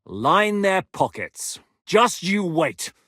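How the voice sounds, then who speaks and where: An actor playing a blunt, battle-hardened knight commander in a game, speaking with commanding, profane directness. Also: male voice, sits high, big pitch swings, extremely slow, a bit bright, breathy edge, no room sound, studio quality, exaggerated voice. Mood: scornful. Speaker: game character voice lines. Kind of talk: game voice acting.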